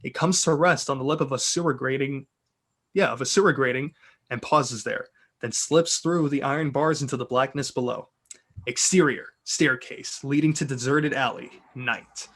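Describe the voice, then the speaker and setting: A man's voice: medium pitch, normal speed, fairly bright tone. A man reading script narration and stage directions aloud in an amused, theatrical way with joking asides. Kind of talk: dramatic reading of a film script.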